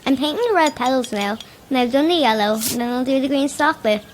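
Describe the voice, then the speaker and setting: A female performer plays a shy little girl in a radio drama. Her voice is high and childlike, her delivery artless and innocent, with noisy outdoor ambience around her. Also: fast, natural voice. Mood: innocent, chatty. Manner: artless, plain-spoken. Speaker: child character in audio horror drama. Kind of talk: naturalistic radio drama acting.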